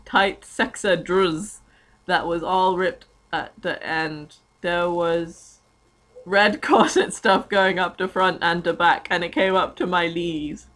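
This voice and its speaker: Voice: medium pitch, steady tone, slow pace, a bit bright. Someone doing a jokey, sweary dramatic reading of fan fiction, giggly and stumbling over odd words.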